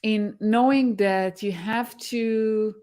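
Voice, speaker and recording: A middle-aged woman from Israel, a guest on a video-call interview, speaking thoughtfully with many pauses and fillers. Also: medium pitch, fairly even intonation, mellow. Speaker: guest, tech mentor.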